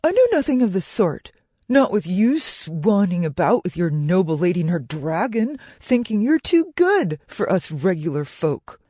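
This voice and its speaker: A narrator putting on Scotty, a pitched-up, indignant market trader. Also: very animated, normal speed, warm tone, dry recording, studio quality. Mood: sneering. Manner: scornful, indignant. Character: Scotty, sneering aggrieved male market stallholder.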